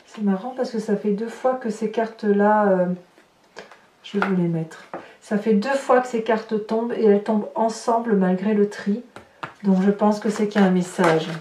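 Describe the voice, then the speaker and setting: A woman's voice: mid-range voice, moderate pace, mellow. A woman giving an informal tarot reading to viewers, chatting and thinking aloud as she handles the cards. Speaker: tarot reader and channel host. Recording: roomy, distant mic with light noise.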